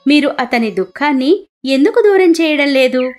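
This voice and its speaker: A high, earnest goddess, voiced by a woman, with music behind her. She is a caring, devoted divine wife in a cartoon devotional tale, pleading and a little indignant. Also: lively intonation, moderate pace, a little mellow. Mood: concerned.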